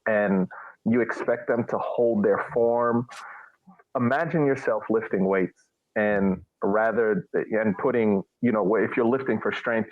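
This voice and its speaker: A man co-hosting a sports podcast, casually making his case in an explanatory way, over a thin connection. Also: on the low side. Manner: explanatory, argues through examples. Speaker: podcast co-host. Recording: thin phone-like radio sound.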